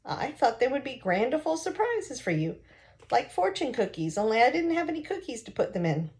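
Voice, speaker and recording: Lady reader doing a chirpy old woman from a kids novel, mischievous n forgetful, high swoopy voice. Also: very animated, brisk, darkish tone. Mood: chirpy, musing. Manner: quaint wordplay, musing in short questions.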